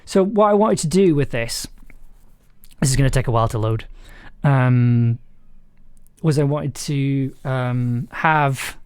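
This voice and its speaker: A person casually talking through audio editing work on a livestream, halting and trailing off while thinking aloud. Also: mid-range voice, a little mellow, clean tone, no echo, clean studio sound. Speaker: audio drama maker streaming the editing process.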